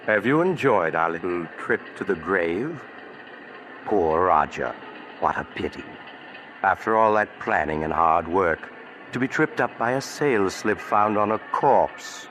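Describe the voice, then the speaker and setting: A man's voice: low voice, lively intonation, measured pace, fairly bright tone, slight background noise. An actor playing a sinister male storyteller who hosts a 1940s radio horror show, sly and darkly amused. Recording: old radio recording with music behind.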